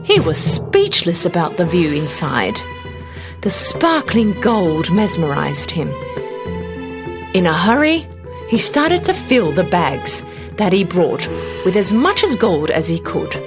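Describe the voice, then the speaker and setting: A woman reading a scripted children's fairy tale as an expressive storybook narrator. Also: lowish voice, slow pace, over music.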